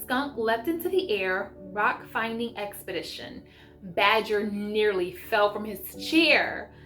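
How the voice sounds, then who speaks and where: A woman's voice: mid-range voice, lively intonation, takes it slowly, fairly bright tone. A woman vlogging as a homeschool mom, talking casually and reading aloud in an enthusiastic, chatty way. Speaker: homeschool mom sharing book picks. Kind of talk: casual vlog chat with read-aloud passages. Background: music.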